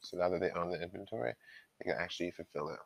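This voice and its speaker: A man giving an informal step-by-step software walkthrough as a trainer, explaining and thinking aloud as he goes. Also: deep voice, very fast, vocal fry, no echo.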